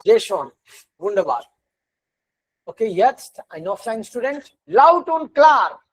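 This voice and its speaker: A man's voice: sits high, very animated, slow, slightly rough. Male Indian-accented language teacher. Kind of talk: classroom teaching, switching languages.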